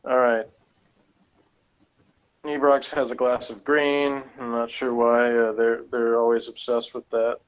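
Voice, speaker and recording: A man with a mid-pitched voice talks casually as a review co-host. He rambles, breaking off into skeptical asides between bits he reads out. The recording is slightly dull and lo-fi. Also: little pitch movement, measured pace, pauses often. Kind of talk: casual chat while reading out and reacting to review notes. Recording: dull, lo-fi sound.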